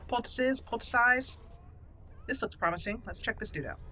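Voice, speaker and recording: Bright-voiced woman doing game commentary. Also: mid-range voice, animated, quick pace, slight background noise. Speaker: gaming channel host playing a roleplay. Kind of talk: casual game commentary with in-character asides.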